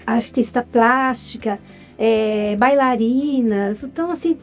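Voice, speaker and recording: A woman's voice: fairly high pitch, slow, soft background music. A Brazilian woman with a Brazilian Portuguese accent, a writer and filmmaker, talking earnestly as a guest in a casual interview.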